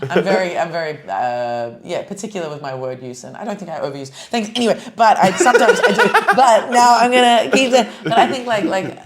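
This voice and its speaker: A woman's voice: mid-range voice, expressive, brisk, few pauses. A young adult woman hosting a podcast in casual chat, rambling with many fillers and restarts. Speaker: podcast host.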